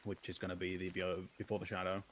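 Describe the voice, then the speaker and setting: A man's voice: sits low, completely flat delivery, fast, a little hiss or hum. A man with a Finnish accent, a native Finnish speaker, chatting casually with friends while streaming a game, with dry, sweary banter. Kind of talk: casual chat while gaming.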